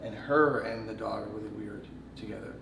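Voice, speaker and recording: Chatty man with a gravelly voice. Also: fairly low pitch, a little fast. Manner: chatty, self-mocking, animated quoting. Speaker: professional choreographer. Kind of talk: casual storytelling. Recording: roomy, distant mic with faint noise.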